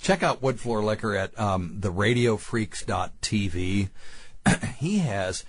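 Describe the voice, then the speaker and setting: A male doctor from the American South hosting a radio talk show, with a softened Southern accent, joking and telling stories. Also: fairly low pitch, expressive, breathy edge. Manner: joking, storytelling, quick asides.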